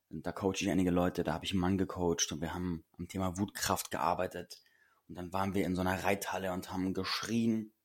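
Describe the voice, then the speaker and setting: A man with a low, husky voice that sounds a bit hoarse talks earnestly and reflectively to his listeners as a podcast host. He leans into words with emphatic repetition, and the rasp in his voice never quite clears. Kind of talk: solo podcast talk.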